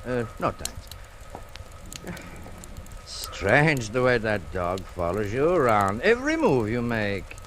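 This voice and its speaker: An actor playing a concerned, probing older music teacher in a 1940s radio suspense drama, deliberate and solicitous. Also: male voice, medium pitch, big pitch swings, a bit bright. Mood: solicitous, uneasy. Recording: vintage radio recording with background noise.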